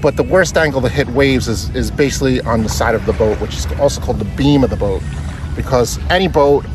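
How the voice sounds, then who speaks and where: A man's voice: mid-range voice, quick pace, music in the background. A man in his forties, recorded outdoors on a small sailboat with a phone at arm's length, talking through how to sail the boat. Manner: friendly, explanatory, talks while sailing. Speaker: sailing instructor. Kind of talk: casual instructional vlog. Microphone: phone camera held at arm's length.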